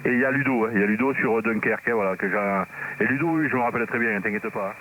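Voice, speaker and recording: A man's voice: on the low side, racing, narrow, tinny audio. A man chatting casually as an amateur radio operator, repeating himself and peppering his talk with little verbal tags. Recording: noisy two-way radio with hum.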